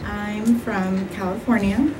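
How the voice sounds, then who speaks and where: A woman's voice: mid-range voice, steady tone, slow. A woman from El Salvador, a skincare business owner, giving brief, matter-of-fact answers in a casual radio interview. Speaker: guest, aesthetician and business owner. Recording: distant mic with background noise.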